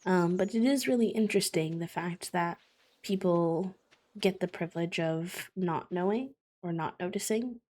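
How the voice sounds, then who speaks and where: A woman with a fairly low voice chats casually on her livestream while she plays. Her voice is a little croaky, as if she just woke up. She talks in a thoughtful, hesitant way, with plenty of ums and likes. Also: moderate pace, darkish tone. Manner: thoughtful, hesitant, many fillers. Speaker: streamer.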